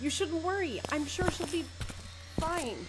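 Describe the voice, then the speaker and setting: A woman's voice: very high voice, a little slow, over music, low-quality recording. A woman playing a blunt, sardonic, protective worker in an audio drama, dry and terse. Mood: forced calm, urgent.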